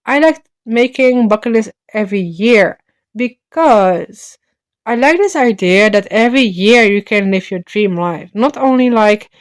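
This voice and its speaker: A young woman talks to her viewers in a loose, chatty way. Her voice is smooth and clear at a middle pitch. She wanders through her points with plenty of restarts and "like" and "um.". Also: expressive, unhurried. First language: Slovak. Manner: chatty, rambling with many restarts and fillers. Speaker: lifestyle vlogger. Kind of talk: casual chat to camera.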